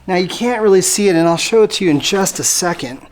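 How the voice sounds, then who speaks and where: An American how-to host with a mid-pitched voice, explaining a hands-on job step by step in a casual, conversational way. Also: animated, quick pace, slight background noise. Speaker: host of an automotive how-to channel. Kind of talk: casual how-to tutorial narration.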